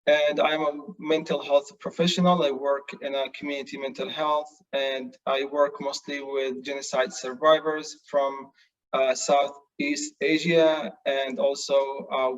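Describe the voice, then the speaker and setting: A man's voice: medium pitch, distant mic, lo-fi sound. A man from Palestine, a nonviolent communication trainer, speaking earnestly with many hesitations and fillers. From Palestine, West Bank. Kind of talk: casual talk in an online session.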